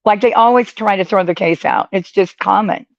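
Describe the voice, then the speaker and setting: A woman's voice: medium pitch, normal speed, creaky, no room sound, highs cut off. A woman co-host chatting casually and agreeing along as she comments.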